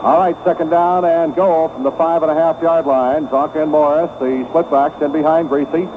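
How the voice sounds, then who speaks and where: A male play-by-play announcer with a fairly high voice calls live football on vintage radio. He runs through the action in short, clipped phrases. The sound is thin and narrow, with clear noise over it. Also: fairly even intonation, moderate pace.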